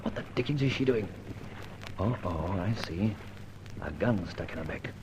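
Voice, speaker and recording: An actor playing a dashing, self-assured gentleman adventurer in an old-time radio detective serial, jaunty and clipped. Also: low-pitched, animated, moderate pace, lo-fi sound. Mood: puzzled, muttering. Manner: jaunty, clipped, theatrical exclamations. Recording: noisy old radio recording.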